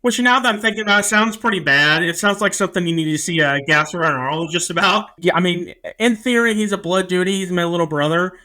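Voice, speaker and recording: A man's voice: sits high, quick pace, hardly any pauses, sharp tone. A man co-hosting a podcast in casual chat, teasing with wry humor. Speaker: podcast co-host.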